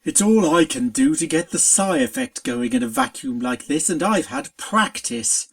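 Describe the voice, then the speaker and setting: Storybook spirit pony, played by a narrator, world-weary and pleading, lively swings in pitch, creaky edge. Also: normal speed. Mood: exasperated, pleading. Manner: exasperated, pleading. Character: weary, put-upon spirit pony of oblivion.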